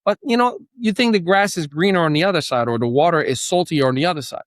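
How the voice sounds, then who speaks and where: A man's voice: a little high, fast talker, no room sound, studio quality. A man born in Morocco hosting a news show, giving an earnest, opinionated commentary with occasional restarts and fillers. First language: Moroccan Arabic.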